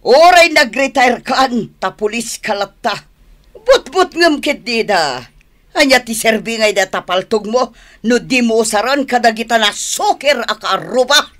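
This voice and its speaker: An actress playing an irritable older wife who scolds and nags, in a radio drama with an Ilocano Filipino accent. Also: female voice, fairly high pitch, expressive, a little slow, fairly bright tone. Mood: nagging, indignant. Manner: scolding, insistent. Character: irritable, nagging wife of a retired policeman.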